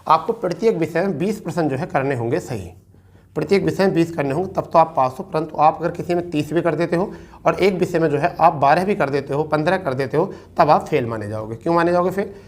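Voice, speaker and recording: A man's voice: a little high, fast. A man explains things like an exam coach. He speaks informally to his students, repeating key points and checking in with them often. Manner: repetitive, checks in with listeners often. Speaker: exam-prep teacher and presenter.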